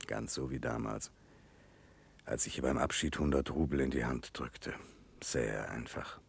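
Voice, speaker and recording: An actor playing a troubled, guilt-ridden Russian prince in a German radio drama, brooding, earnest and formal. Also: male voice, very deep voice, a little slow, gravelly, slightly rough, heavily acted. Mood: bitter self-reproach.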